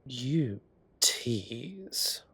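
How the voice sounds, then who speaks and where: Man acting a slow, husky, teasing gentleman boss. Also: mid-range voice, sing-song, no echo, exaggerated voice. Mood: playful prodding. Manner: drawling, arch, playfully dramatic. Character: suave, teasing, theatrical gentleman boss, gossip-loving. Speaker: audio roleplay performer. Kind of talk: scripted intimate roleplay acting.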